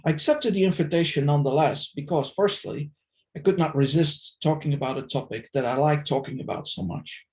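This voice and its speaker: Academic man, middle-aged, formal and deliberate, slightly higher voice with some gravel. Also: a little mellow. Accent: non-Filipino, foreign-accented English. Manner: measured, formal, deliberate delivery. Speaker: invited speaker, plant systematist. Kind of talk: prepared academic presentation.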